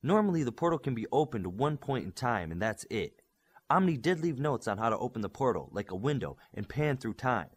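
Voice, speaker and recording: An earnest young superhero tech expert, voiced by a male reader in an audiobook. The delivery is methodical and explanatory, slightly husky, with lively pitch. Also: fairly quick. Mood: explaining.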